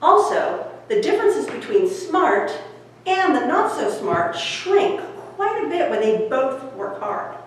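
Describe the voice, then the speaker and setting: A woman reading her own nonfiction book aloud in a measured, explanatory way with deliberate phrasing. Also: high voice, very animated. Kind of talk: scripted audiobook-style reading of nonfiction. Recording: very echoey room, distant mic, background noise.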